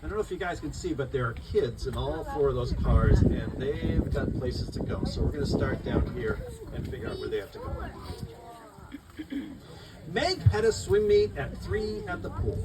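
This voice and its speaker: Animated, higher-voiced man reading to a group. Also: moderate pace. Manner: expressive, rhythmic storytelling of rhyming verse. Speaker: children's book author reading his own book. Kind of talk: live picture-book read-aloud with friendly asides to listeners. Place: live event with an audience. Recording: distant mic, noisy live room.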